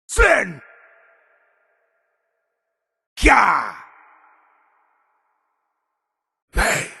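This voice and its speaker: An actor playing an arrogant, imperious male high elf in a fantasy video game, with a condescending, clipped manner. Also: husky, dry recording, studio quality.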